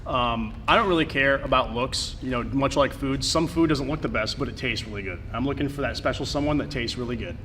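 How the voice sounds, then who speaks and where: A man's voice: mid-range voice, fairly quick, few pauses, sharp tone. A man in his twenties introduces himself to camera for a dating-show audition, upbeat and rehearsed. His voice sits mid-range. A faint hiss runs under the recording. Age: 20s. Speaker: mock dating show applicant. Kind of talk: audition tape.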